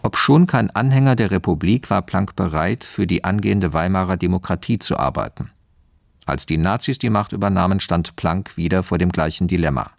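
A deep male voice with a gravelly creak, reading out an official file in a radio play. The delivery is formal and factual. The sound is close and dry, with no room on it. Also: brisk, no echo. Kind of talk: scripted documentary-like narration in radio drama.

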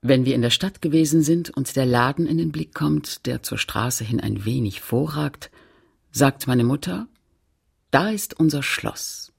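A woman playing a sober narrator recalling her childhood home in a radio play, measured and factual, with a husky, creaky voice. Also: very deep voice, animated, darkish tone, full character voice. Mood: sober, reflective. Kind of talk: literary radio play narration in German and French.

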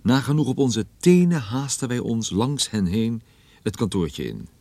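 A man's voice: mid-range voice, lively intonation, measured pace, a little mellow, no echo. A man playing a private detective who narrates his own radio drama with dry, ironic, literary phrasing. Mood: wry, bemused. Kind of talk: scripted first-person radio drama narration.

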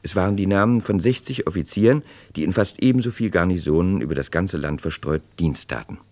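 A man narrating a true crime radio play as a sober storyteller, scripted and measured, building suspense. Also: low-pitched, darkish tone. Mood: sober narration.

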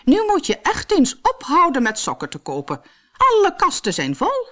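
A woman's voice: high voice, wildly swooping pitch, unhurried, a bit bright, voice pushed up, exaggerated voice. A woman voicing a bossy, exasperated storybook queen with an emphatic way of talking.

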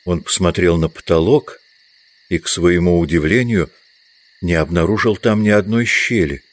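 A man's voice: sits low, moderate pace. A man reading scripted literary narration as the narrator of an audio drama.

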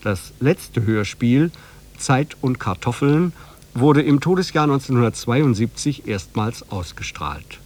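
A man's voice: medium pitch, animated, a little slow, a little mellow. A man presenting a radio programme, reading a scripted, informative introduction.

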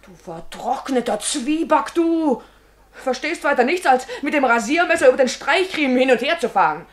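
An actress playing a domineering, shrewish wife in a German radio play, indignant and scolding with scornful insults. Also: female voice, high voice, animated, normal speed.

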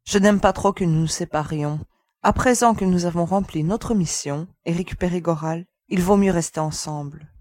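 A woman playing a cautious, protective young spaceship crew member in an audio sci-fi drama, speaking in a measured, firm way. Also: on the low side, a little mellow, no echo, lightly acted. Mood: wary, firm.

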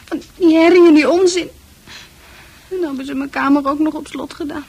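High female voice, distrustful psychiatric patient, exasperated, wary, radio play acting. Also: a little fast, a little mellow. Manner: protesting, wary. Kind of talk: Dutch radio drama acting.

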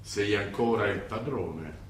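Insinuating cardinal, echoey and distant. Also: male voice, lowish voice. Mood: coolly persuasive. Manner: measured, preachy, insinuating. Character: wily, unctuous high-ranking cardinal, male, older, coolly manipulative. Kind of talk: Italian stage-style radio drama. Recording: echoey stage recording, distant mic, hiss.

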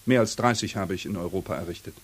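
An actor playing a proud, principled engineer in a radio drama, with a husky voice and an earnest, precise delivery. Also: male voice, low-pitched, quick pace. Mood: proud, firm. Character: proud, principled bridge engineer standing up to his captor.

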